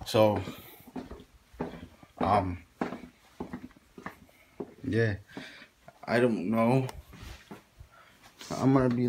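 Young male vlogger, mid-pitch, rambling and mumbling with curses, phone at arm's length, noisy recording. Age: young adult. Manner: rambling, mumbled asides, frequent cursing. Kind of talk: casual handheld vlog. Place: house indoors, then outdoors in a neighborhood. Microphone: phone held at arm's length.

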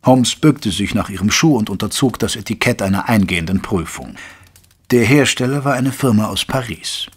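An actor playing a caring gentleman physician who narrates a German audio drama in a measured, literary way. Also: fairly low pitch, animated, breathy edge. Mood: measured narrating.

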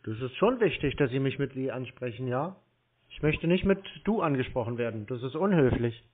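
A man's voice: medium pitch, animated, brisk. Curt man on a tinny phone line. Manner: curt, pedantic, unimpressed. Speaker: scam-baiter taking scam calls. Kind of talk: phone conversation.

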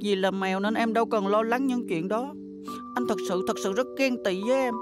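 A woman's voice: on the high side, fairly quick, loud music behind the voice, close to an everyday voice. A woman voicing a sensitive, earnest young schoolboy in a cartoon dub, with a childlike delivery. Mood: fed up. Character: sensitive young schoolboy, weary of extra classes.

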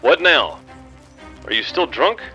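A man's voice: on the high side, big pitch swings, takes it slowly, bright, forceful tone, muffled recording, soft background music, exaggerated voice. A man playing a dry, teasing boss who pays for investigations, in an audio drama. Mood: dry banter.

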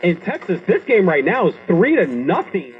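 A man's voice: high-pitched, sing-song, moderate pace. A man giving live sports commentary, heard through the small speaker of an old radio. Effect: played through an old radio speaker. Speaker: sports broadcaster. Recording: dull old radio speaker, faint noise.

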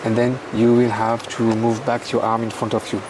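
A man with a French accent, a guest commentator, explaining swimming technique in halting, hesitant English. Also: mid-range voice, monotone, distant mic, background noise. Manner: hesitant, halting, many fillers.

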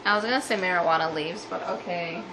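Young woman griping and bantering on a home vlog, lots of vocal fry, noisy room recording. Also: on the low side, fast. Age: young adult. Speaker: guest on cousin's vlog. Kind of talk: casual chat. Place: small home room with a desk, indoors. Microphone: camera mic at about arm's length.

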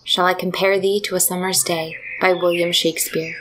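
A woman's voice: on the low side, level tone, normal speed, fairly bright tone, a little hiss or hum. A woman reading classic poetry aloud as a recitation. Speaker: poetry reader. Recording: birdsong behind the voice.

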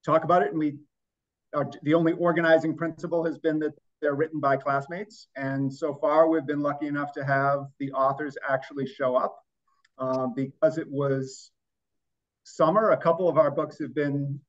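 A middle-aged man hosting a book club over a video call, hesitant and often restarting his sentences. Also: mid-range voice. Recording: dull lo-fi call audio.

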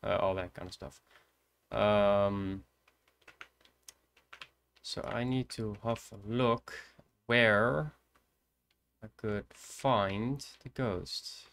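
A young man of eighteen with a Dutch accent, streaming from his computer and narrating his play in a soft, hesitant murmur. His voice sits a little low, close to a desk mic. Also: gravelly. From Netherlands. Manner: soft-spoken, hesitant, thinking aloud. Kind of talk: casual gameplay commentary. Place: indoors at a computer.

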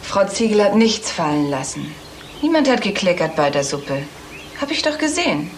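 An actress plays a prim mother and hostess in a radio drama. She speaks with fussy insistence, in a mid-pitched female voice. The recording has clear background noise. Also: big pitch swings, normal speed, close to an everyday voice. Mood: indignant. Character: fussy, polite hostess mother, scolding her small son. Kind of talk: German radio play dialogue. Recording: noisy recording with room ambience.

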